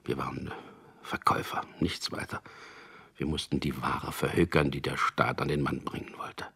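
An actor playing a wry, weary propaganda copywriter in a German radio drama, speaking in a dry, ironic way. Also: male voice, a bass voice, little pitch movement, normal speed, sharp tone, exaggerated voice. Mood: wry, resigned. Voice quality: husky, gravelly. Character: wry, weary male propaganda copywriter, narrating his story. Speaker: lead actor and first-person narrator.

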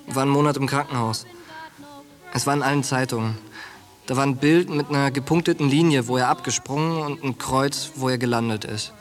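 An actor playing a tough, streetwise young soldier, blunt and slangy, in a German radio drama. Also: male voice, medium pitch, brisk, lightly acted. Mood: reminiscing. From United States, Philadelphia. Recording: studio drama with music bed.

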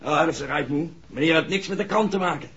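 Man acting a high-voiced, cheeky garage lad. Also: fast talker, fairly bright tone, close to an everyday voice. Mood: cheeky, impressed. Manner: breezy, familiar, colloquial. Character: chatty, cheeky young garage man, son of the owner. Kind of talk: 1960s Dutch radio drama acting. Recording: muffled old radio recording.

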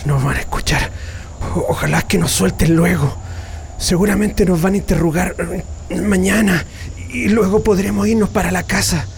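An actor playing a frightened, protective Chilean railway worker held prisoner, hushing and stammering in a radio drama. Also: male voice, high voice, fairly even intonation, moderate pace, gravelly, background noise. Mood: anxious, hushing.

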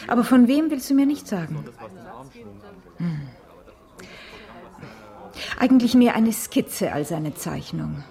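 Lively-pitched, brisk, candid woman. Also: gravelly, exaggerated voice. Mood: curious, thoughtful. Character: brisk, candid woman colleague minding small children. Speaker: actor in a radio crime play. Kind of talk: 1980s radio drama dialogue.

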